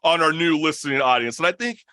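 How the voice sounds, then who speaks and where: A man's voice: fairly high pitch, fast, bright, forceful tone, smooth, no echo, clean studio sound. A young man hosting a casual talk show, effusive and rambling, with slangy phrasing. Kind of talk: casual chat.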